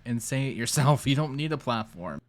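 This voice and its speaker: Smug, dismissive male YouTuber, mimicked by a woman, pitched low and drawn out. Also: lively intonation. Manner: slow, dismissive.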